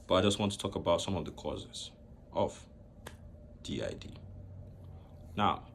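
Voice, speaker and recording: A young man from Nigeria speaking to camera in a slow, reflective way, pausing often between phrases. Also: low voice, expressive, vocal fry, slight background noise. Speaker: vlogger. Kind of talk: personal talk to camera.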